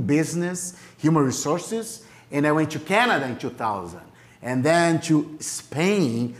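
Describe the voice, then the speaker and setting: A man from Brazil, whose first language is Portuguese, speaking English with a Brazilian Portuguese accent as a missionary pastor giving a church presentation with self-deprecating jokes. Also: a little high.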